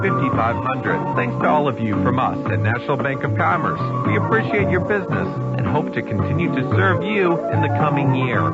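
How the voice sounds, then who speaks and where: A man reading a scripted holiday thank-you advertisement as a radio announcer. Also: on the high side. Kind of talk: scripted bank holiday message. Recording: dull radio recording, loud music.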